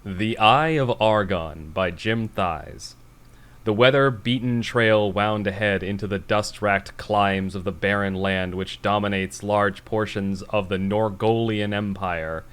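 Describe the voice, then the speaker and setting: A man's voice: lowish voice, unhurried, a bit bright, smooth. A man with an American accent hosting a podcast, chatting casually and reading stories aloud. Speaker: podcast host.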